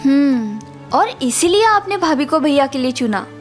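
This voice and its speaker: A woman playing a curious, easily surprised young sister-in-law in an animated story. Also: high-pitched, moderate pace, faint music behind.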